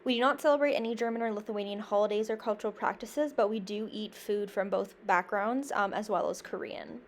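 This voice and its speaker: A woman's voice: medium pitch, fairly quick, slight background noise. A young woman from Canada, a university student, reading a prepared class presentation in an earnest, careful way, with small slips and restarts. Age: young adult.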